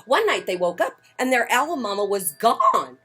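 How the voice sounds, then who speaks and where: A woman hosting a children's story time, reading picture books aloud in a theatrical, emphatic way with swells and hushes. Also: mid-range voice, big pitch swings, normal speed, breathy edge, faint music behind. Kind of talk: expressive picture-book read-aloud. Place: outdoors on a porch.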